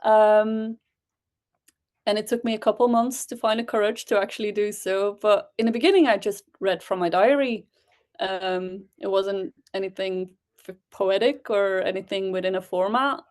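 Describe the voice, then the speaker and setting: A woman's voice: mid-range voice, fairly even intonation, normal speed, a little mellow. Belgian-born woman poet, chatting. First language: Dutch. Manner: hesitant, with frequent ums and laughs. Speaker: spoken word poet guest. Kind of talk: casual interview chat.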